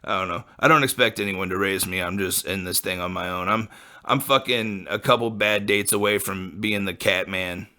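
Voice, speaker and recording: A man's voice: sits low, moderate pace, sharp tone. A man in his late twenties from the American Midwest, rambling casually and profanely as a podcast host, full of fillers. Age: late 20s. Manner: laid-back, profane, full of likes and you-knows.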